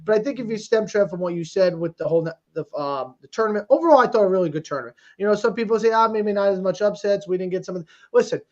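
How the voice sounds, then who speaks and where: A high-voiced man races through casual sports talk as a podcast co-host. He is emphatic and exclamatory, often repeating himself to drive a point home. The sound is slightly dull and lo-fi. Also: fast talker, few pauses.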